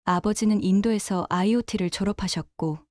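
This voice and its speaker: A woman reads a translation at high speed with a brisk, even delivery. Her voice is mellow and dark rather than bright. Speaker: interpreter voice-over. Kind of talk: read translation.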